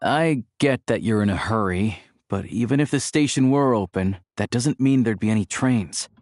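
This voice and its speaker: A man's voice: lowish voice, expressive, normal speed, a little mellow, no echo, lightly acted. A man voicing an easygoing, friendly young hunter in a video game, with a casual, wry manner. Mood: resigned.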